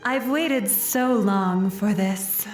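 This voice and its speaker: A woman's voice: mid-range voice, extremely slow. A woman playing a romantic, brooding opera-house phantom with a theatrical, melodramatic delivery in an audio comedy sketch. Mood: yearning. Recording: echoey cavern reverb, loud music behind.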